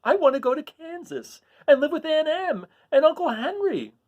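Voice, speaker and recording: A man's voice: very high-pitched, lively intonation, normal speed, phone-quality sound, voice pushed up. A man voicing an earnest young farm girl in a children's storybook, with a light, pleading, wistful delivery. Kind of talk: children's storybook read aloud.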